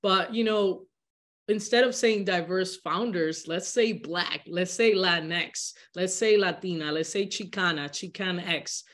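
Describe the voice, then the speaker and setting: A woman's voice: on the low side, a little slow, a bit bright. A young woman from the Dominican Republic, a guest on a video call interview, speaking earnestly and often restarting words as she thinks. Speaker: guest, social entrepreneur. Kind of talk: candid interview conversation. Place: home living room.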